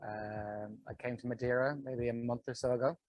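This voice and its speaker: A man's voice: mid-range voice, fairly even intonation, normal speed, a little mellow. A man from Ireland with an Irish accent, giving a casual testimonial in short, hesitant phrases. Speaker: digital nomad giving a testimonial.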